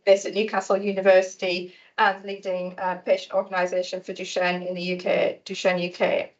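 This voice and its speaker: A woman with a Geordie accent giving a conference presentation in a hospital lecture hall. She talks in a brisk, friendly, self-deprecating way. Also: fairly low pitch, monotone. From north-east England. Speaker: research hub speaker. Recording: dull lo-fi sound.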